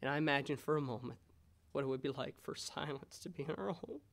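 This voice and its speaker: An American man in his thirties preaching a sermon as a pastor in a measured, pausing way. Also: mid-range voice, vocal fry, a bit bright. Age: 30s. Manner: measured, pausing, rhetorical questions. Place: church sanctuary, indoors. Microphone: gooseneck pulpit microphone.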